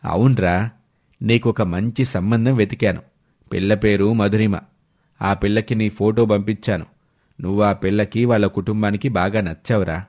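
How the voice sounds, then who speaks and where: A man voicing a blunt, overbearing older uncle who scolds colloquially in an animated village story. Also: lowish voice, moderate pace, pitched below the performer's own voice. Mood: scolding, pushy.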